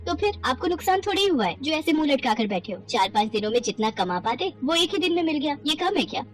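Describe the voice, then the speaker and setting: Woman playing a high-voiced practical, cheerful wife. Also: very animated, fast, soft background music. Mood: chiding, reassuring. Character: cheerful practical wife consoling her husband. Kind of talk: animated Hindi moral story dialogue.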